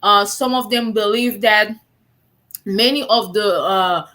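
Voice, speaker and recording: Woman show host, thirties, bright tone, easy chatty delivery. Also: medium pitch, slow. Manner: warm, chatty, many fillers. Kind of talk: live online talk show.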